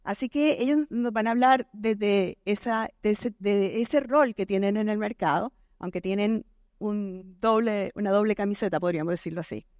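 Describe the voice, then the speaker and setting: A middle-aged woman from Colombia with a Colombian accent softened by decades in Chile, moderating a conference panel on stage with frequent pauses and restarts. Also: medium pitch, no room sound, studio quality. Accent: Colombian, softened by years in Chile. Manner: hesitant, many fillers and restarts.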